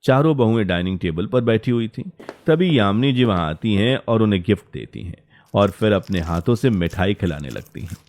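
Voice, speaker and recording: A man's voice: lowish voice, lively intonation, brisk, darkish tone. A man narrating a scripted story as its storyteller.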